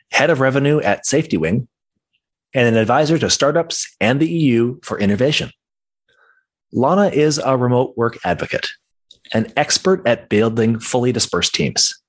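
A man hosting a podcast, reading a scripted introduction and then chatting with his guest. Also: mid-range voice. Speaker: podcast host. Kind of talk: podcast interview.

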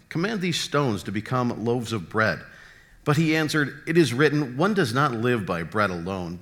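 Lively low-voiced man reading scripture. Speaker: scripture reader.

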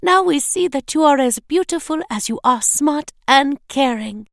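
A woman's voice: high-pitched, very animated, a little slow, a little mellow, no echo, studio quality, voice pushed up, full character voice. A woman voicing a kindly, happy little farmer in a storybook, with a cheerful, welcoming manner. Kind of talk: storybook character voice.

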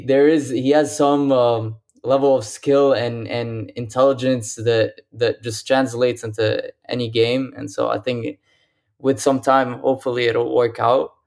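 A man's voice: mid-range voice, darkish tone. Hesitant man from Lebanon, guest on a call. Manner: hesitant, many ums and restarts. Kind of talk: casual podcast chat. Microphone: remote call.

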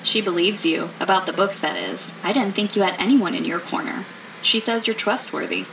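A woman playing a thoughtful, inquisitive podcast investigator, narrating an audio drama in a measured, reflective way. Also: mid-range voice, sing-song, creaky, fairly bright tone, lo-fi sound, a little hiss or hum.

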